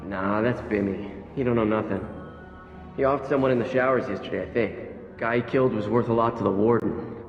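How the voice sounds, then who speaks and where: A rough, world-weary convict from a video game voiced by a man, talking in blunt street slang. He sounds resigned to prison life. The sound is slightly dull, with a long reverb and faint music. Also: fairly low pitch, brisk, natural voice. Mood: jaded, resigned. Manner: slangy, blunt, matter-of-fact. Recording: echoey, muffled, faint music.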